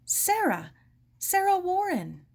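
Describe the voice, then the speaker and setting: A woman's voice: extremely high pitch, wildly swooping pitch, slow, a little mellow, voice pushed up, heavily acted. A woman voicing a plucky, well-mannered young girl in a storybook. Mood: hesitant, polite.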